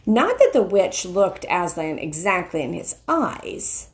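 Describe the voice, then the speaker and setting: A middle-aged woman reading a children's story aloud as a teacher, in a deliberate, dramatic narrating style. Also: on the low side, big pitch swings. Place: small wood-ceilinged room indoors. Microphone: handheld phone at arm's length.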